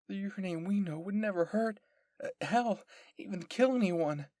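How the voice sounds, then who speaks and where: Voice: mid-range voice, normal speed, mellow, no echo, voice pushed up, natural voice. Performer voicing a desperate, guilt-ridden young woman soldier. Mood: tearful pleading.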